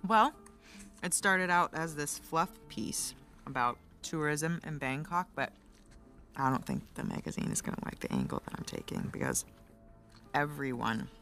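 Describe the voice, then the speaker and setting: A woman's voice: low voice, big pitch swings, moderate pace, vocal fry, fairly bright tone, faint music behind, exaggerated voice. An American actress playing a wry, teasing wife in her thirties, speaking dryly and naturally in a video game cutscene. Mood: matter-of-fact. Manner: dry, teasing.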